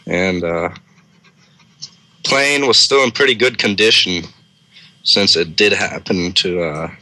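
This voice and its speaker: A man's voice: low-pitched, slow, sharp tone, no room sound. A man co-hosting a storytelling series, casually improvising a story in a conversational way.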